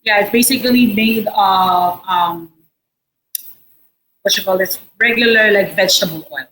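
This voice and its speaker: A woman's voice: medium pitch, a bit bright. Filipino-accented woman chef, noisy recording. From Philippines. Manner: informal, halting, explanatory. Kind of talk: casual cooking demonstration. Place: indoor restaurant space behind a counter. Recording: noticeable background noise and room ambience.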